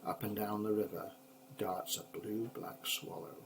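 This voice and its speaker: An older man, a grandfather, reading children's poetry aloud in an expressive, rhythmic, measured storybook manner. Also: lowish voice, vocal fry, noisy background.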